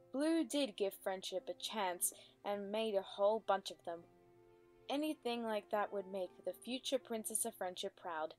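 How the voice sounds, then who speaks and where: A woman reading scripted story narration as the narrator of a dramatic reading. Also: mid-range voice.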